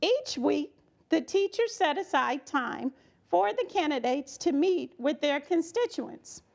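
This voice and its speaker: A woman reads a children's story aloud expressively, with emphatic delivery, dramatic pauses and chatty asides to her young listeners. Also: high-pitched, animated, creaky.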